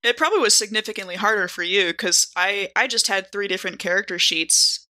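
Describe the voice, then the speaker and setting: Lady chatting casually on a game podcast, bright forward voice. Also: mid-range voice, brisk, poor audio. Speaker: actual play podcast cast member. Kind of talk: casual chat.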